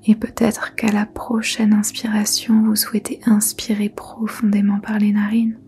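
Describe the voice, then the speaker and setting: A woman leading a guided yoga nidra meditation in a soft, breathy near-whisper, soothing and gentle. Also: medium pitch, monotone, slight background noise. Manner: soothing, gentle, unhurried instruction.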